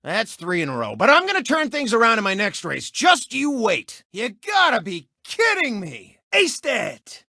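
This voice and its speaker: An actor voicing a cocky, gloating race car turned sportscaster in a video game, with a boastful, mocking announcer delivery. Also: male voice, extremely high pitch, huge pitch swings, unhurried, creaky, few pauses, sharp tone, no echo, clean studio sound, heavily acted. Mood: sulky, annoyed.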